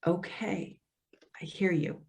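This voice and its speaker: A woman's voice: fairly low pitch, fairly even intonation, takes it slowly, vocal fry, darkish tone. Woman acting hesitant, earnest social worker. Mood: concerned, placating. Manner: hesitant, placating, careful. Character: earnest, apologetic female social worker for elders. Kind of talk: readers theater dialogue.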